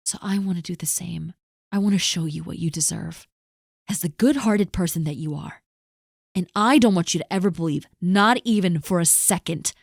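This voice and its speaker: A woman voicing a caring, protective best friend in an audio roleplay, talking earnestly to the listener and often dropping to a soft hush. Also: medium pitch, no room sound, clean studio sound. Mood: tender, reassuring.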